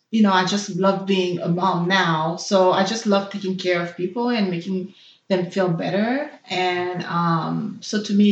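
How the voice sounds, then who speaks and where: Reflective woman with many pauses, roomy sound. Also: lowish voice, dull audio. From Haiti. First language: Haitian Creole. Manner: thoughtful, hesitant, with many fillers. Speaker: interview guest, nurse. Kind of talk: personal interview.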